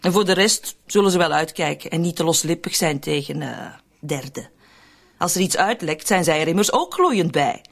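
A woman's voice: sits low, expressive, moderate pace, creaky, heavily acted. An actress playing a scheming, mocking woman in a radio drama, sardonic and coolly in control. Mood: amused, reassuring. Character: scheming, mocking woman fond of morbid jokes.

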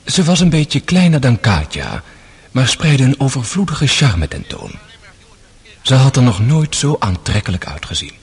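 A man's voice: mid-range voice, sing-song, normal speed, husky. A man giving a literary, scripted narration for a radio play.

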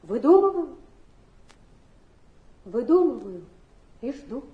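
A woman's voice: sits high, very animated, takes it slowly, muffled recording, full character voice. An actress playing a fragile, desperate young woman clinging to her dreams, in a plaintive theatrical stage manner. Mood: wistful, melancholy. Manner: plaintive, dramatic stage delivery.

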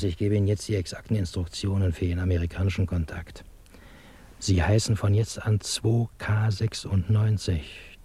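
Cynical spy handler in a radio drama, dark soft low voice, wry n exact. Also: male voice, breathy edge. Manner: wry, sententious, precise. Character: cynical, guarded male intelligence handler briefing his agent. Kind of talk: German radio play acting.

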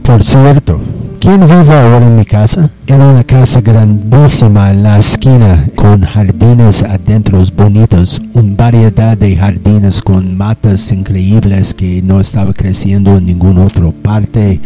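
Radio play ghost , male actor: low, wistful, indignant, declamatory nineteenth-century townsman, over music. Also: fairly even intonation, unhurried, mellow, lightly acted, rough recording. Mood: nostalgic. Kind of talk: amateur radio drama acting. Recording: music behind the voice.

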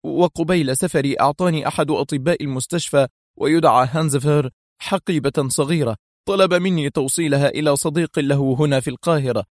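A man's voice: fairly high pitch, fast, no echo, voice pushed up, close to an everyday voice. A man voicing a distraught male doctor, agitated and close to tears, in an audiobook thriller. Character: distraught, trembling male surgeon, wrongly accused brother.

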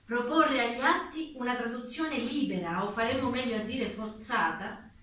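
A woman acts as a theatrical narrator for a historical courtroom drama on radio. The voice is bright and forceful, with declamatory delivery. It carries from a distance through a heavily reverberant room. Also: a little high, normal speed, lightly acted. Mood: narrating, pointed. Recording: very echoey distant room, faint noise.

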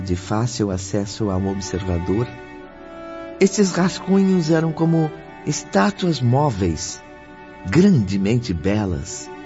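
A man's voice: mid-range voice, dark tone. An actor playing a reflective male narrator recalling the afterlife in a radio drama, with a contemplative, lyrical delivery. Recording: muffled recording with faint music.

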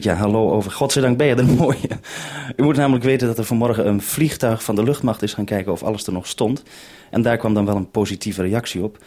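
A man's voice: lowish voice, a little mellow, slightly rough. A man with a Netherlandic Dutch accent presenting and interviewing over a live radio link in a vintage 1970s broadcast.

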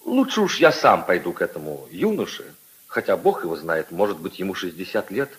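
An actor playing a mild, hesitant, gentlemanly prince in measured 1950s radio drama style. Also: male voice, low-pitched, very animated, low-quality recording. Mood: cautious, reasoning.

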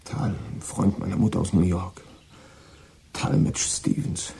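A guilt-ridden teenage boy in a radio play. His voice is very husky and soft-toned, with some fry. He speaks haltingly, often doubling words as he gets agitated. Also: sits low, lively intonation, heavily acted. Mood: subdued, weary. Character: troubled, guilt-ridden sixteen-year-old American boy confessing. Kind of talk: German radio drama acting.

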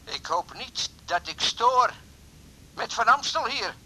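An actor in a radio drama playing an impulsive, sheepish middle-aged suitor who talks haltingly and pleadingly. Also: male voice, extremely high pitch, expressive, sharp tone, full character voice, rough recording. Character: impulsive, sheepish older suitor calling on the phone. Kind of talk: 1980s Dutch radio drama acting.

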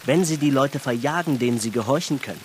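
A man's voice: medium pitch, fast talker, a little mellow, slight background noise, natural voice. An actor in a radio drama playing a smug, talkative bourgeois businessman, pompous, sardonic and fond of lecturing. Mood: mocking, lecturing. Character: smug, talkative bourgeois Berlin businessman, conservative and self-satisfied.

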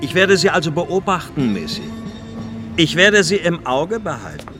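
An actor playing a cold, threatening, condescending middle-aged police inspector in a radio drama. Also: male voice, fairly high pitch, very animated, moderate pace, bright, forceful tone. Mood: quietly menacing. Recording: music playing behind voice.

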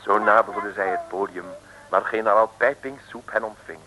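A man's voice: lowish voice, steady tone, moderate pace, dull audio, very noisy. A man narrating a 1950s radio comedy serial in a wry storytelling tone, talking to the listeners. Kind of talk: scripted radio play narration. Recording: old radio broadcast over music.

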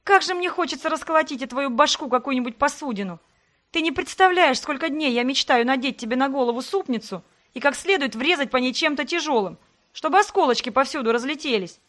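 A woman voicing a hot-tempered, sharp-tongued young heroine who shouts in indignant exasperation. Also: fairly high pitch, animated, brisk. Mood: furious.

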